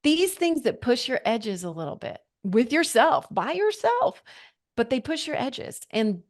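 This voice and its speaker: A woman's voice: on the high side, unhurried. A woman in her forties, a podcast guest, telling her personal story in an earnest, reflective way and acting out her own past thoughts. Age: 40s. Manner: earnest, reflective, with lively quoted inner thoughts.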